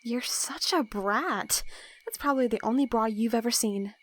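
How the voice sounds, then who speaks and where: A woman's voice: a little high, normal speed, a bit bright. A woman playing a sarcastic, bossy young assassin roommate with dry, teasing delivery in an audio roleplay. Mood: teasing, flustered. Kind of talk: casual audio roleplay acting.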